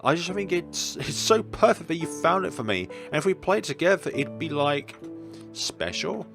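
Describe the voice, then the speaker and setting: Man as a bashful teenage girl, high swooping voice, eager and halting, visual novel character, music behind. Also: very animated, creaky. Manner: hesitant, gushing, stammering.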